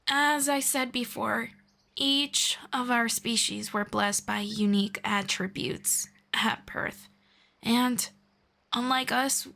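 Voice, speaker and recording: A woman voicing a gentle, patient female elf mentor in an ASMR roleplay, speaking softly and slowly and slipping into whispers. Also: a little high, gravelly, exaggerated voice. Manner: soft-spoken, slow and patient, drifting into whispers. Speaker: voice actor.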